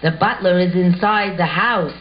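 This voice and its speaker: A woman's voice: lowish voice, moderate pace. A woman reading scripted narration and stage directions as the narrator of an amateur show. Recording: muffled home recording with hiss.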